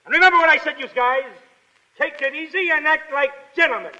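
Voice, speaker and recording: A man's voice: extremely high pitch, brisk, exaggerated voice. A man playing a tough, sarcastic Foreign Legion sergeant barking orders in a 1930s radio drama. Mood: stern, sarcastic. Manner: gruff, shouted military commands. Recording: dull vintage 1930s radio recording.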